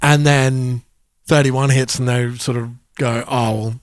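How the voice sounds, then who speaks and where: A man's voice: mid-range voice, fairly even intonation, no room sound. Forties guy, podcast co-host, easygoing chat, lots of yeahs, dry close mic. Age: 40s. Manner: agreeable, chatty, quick affirmations, laughs easily. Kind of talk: casual chat.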